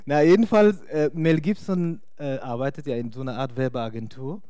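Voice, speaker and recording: A man's voice: mid-range voice, moderate pace, mellow, dry recording, clean studio sound. A young man preaching informally in German, a non-native speaker who talks hesitantly with many fillers. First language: not German. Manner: chatty and hesitant, many fillers, laughs often. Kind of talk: informal sermon.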